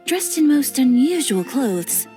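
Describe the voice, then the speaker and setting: A young receptionist in an anime game, polite and helpful. The voice sits high and moves with lively intonation, formal in phrasing, over soft music. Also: female voice, slow pace, full character voice. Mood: courteous, encouraging. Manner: courteous, formal. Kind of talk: anime video game voice acting.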